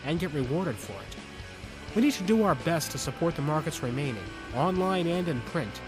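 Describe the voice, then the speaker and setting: A man hosting a podcast and reading fiction from a script, earnest and measured, with deliberate phrasing. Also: fairly high pitch. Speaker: podcast host and story reader. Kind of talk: scripted commentary and story narration.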